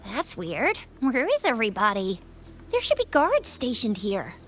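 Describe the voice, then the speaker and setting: A woman voicing a brash, playful young woman fighter in a video game, slangy and excitable, over music. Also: fairly high pitch, measured pace, a little hiss or hum, exaggerated voice. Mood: puzzled, wary. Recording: loud music behind the voice. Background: loud game music.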